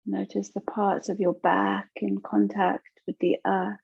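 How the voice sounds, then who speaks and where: A woman teaching yoga nidra, guiding a relaxation meditation in a gentle, soothing, unhurried way with long pauses. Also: medium pitch, level tone, gravelly, husky, no room sound, highs cut off.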